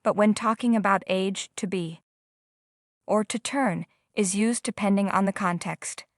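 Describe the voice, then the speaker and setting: A woman giving a calm, scripted lesson in plain English, pausing to quiz the listener. Her pitch sits mid-range and the sound is close and dry, with no room around it. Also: no room sound. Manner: slow, prompting questions to the viewer. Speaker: language teacher.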